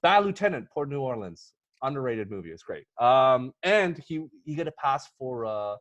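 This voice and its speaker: A man hosting a casual movie podcast, talking excitably and emphatically with a theatrical, joking streak. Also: mid-range voice, moderate pace, muffled recording. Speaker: podcast host.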